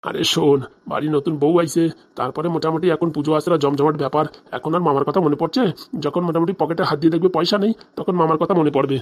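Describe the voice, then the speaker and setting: A man's voice: on the high side, steady tone, fast talker, natural voice. A man voicing a consoling, homely middle-aged village friend in a comedy cartoon, chatty and reassuring. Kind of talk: Bangla comedy cartoon dialogue.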